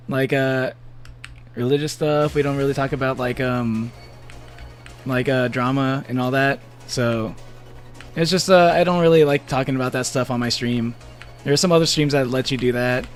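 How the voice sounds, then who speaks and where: A man's voice: mid-range voice, steady tone, moderate pace, soft background music. A man streaming a game live, chatting casually with his viewers, rambling with lots of fillers and frequent laughter. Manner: rambling, laughs often, many restarts and fillers. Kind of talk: casual live-stream chat while gaming.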